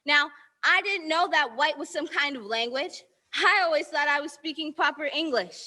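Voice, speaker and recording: High bright teenage girl reciting poetry. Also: normal speed. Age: teens. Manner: rhythmic rhyming recitation, defiant at times. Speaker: student poet. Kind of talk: spoken word poetry performance.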